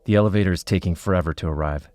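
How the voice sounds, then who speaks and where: A man's voice: low-pitched, racing, a little mellow, no echo, close to an everyday voice. An actor playing a wry, uneasy investigator who narrates his own case in a dry, storytelling way for a scripted podcast. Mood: wry, tense.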